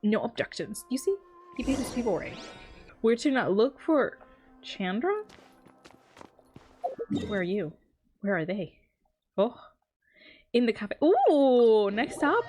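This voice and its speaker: A woman's voice: high-pitched, sing-song, slow, long pauses, a little mellow. A woman giving casual, playful game reactions, giggly and informal, often repeating herself. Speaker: streamer. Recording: background music behind the voice. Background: game music.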